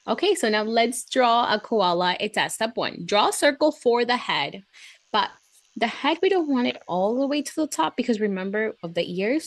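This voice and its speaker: A woman's voice: mid-range voice, no echo. A woman hosting a read-aloud and drawing lesson for children, chatty, gushing and encouraging, often breaking into exclamations.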